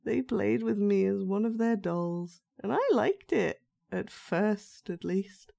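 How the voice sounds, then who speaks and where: A woman playing a sinister, soft-spoken dollmaker with magic powers in a roleplay monologue, coaxing and condescendingly gentle as she addresses the listener. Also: mid-range voice, slow, vocal fry. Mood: wistful, eerie.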